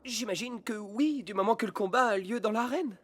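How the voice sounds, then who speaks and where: An actor voicing a timid, gentle ghost of a cowardly male Roman gladiator in an animated cartoon, nervous and hesitant. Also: mid-range voice, brisk, noisy background, close to an everyday voice. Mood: meek. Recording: music behind the voice.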